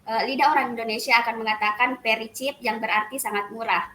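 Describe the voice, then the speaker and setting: A young woman presents her class material in an echoey room, her bright, fairly high voice pausing often on hesitant fillers. She reads steadily from her notes. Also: fairly even intonation, slight background noise. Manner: hesitant, frequent "eh" fillers and restarts. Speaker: student presenter.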